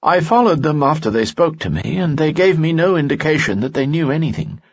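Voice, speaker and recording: A man's voice: fairly high pitch, expressive, fairly quick, dry recording, muffled recording, pitched above the performer's own voice. A man doing a gallant, flirtatious nobleman. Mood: earnest warning. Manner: courtly, playful, gallant. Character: dashing male nobleman, charming, flirtatious.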